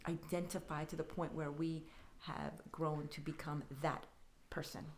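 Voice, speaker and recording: A woman with a somewhat low-pitched voice shares her thoughts in a conversational podcast. She sounds reflective and often repeats phrases for emphasis. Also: flat delivery, a little slow. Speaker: podcast guest.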